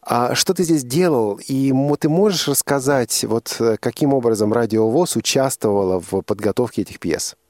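A man's voice: medium pitch, normal speed, hardly any pauses, darkish tone, no echo. A man hosting a live radio talk show from a studio, putting questions and linking segments. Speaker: radio host.

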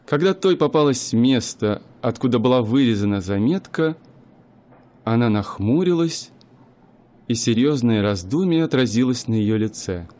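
Brief: male narrator, mid-pitched, measured storytelling for a radio play. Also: animated, mellow. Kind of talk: scripted literary narration.

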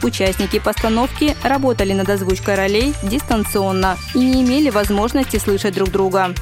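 A woman's voice: medium pitch, a little fast. Woman radio host reading a scripted feature, music playing behind her. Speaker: radio presenter. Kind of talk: scripted news feature narration.

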